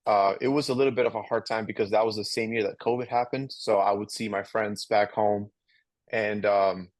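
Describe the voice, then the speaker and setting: A man's voice: fairly low pitch, fairly even intonation, fairly quick, no echo. A young man from Albania, a podcast guest, answering casually with many fillers and restarts. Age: young adult. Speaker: podcast guest, incoming law student.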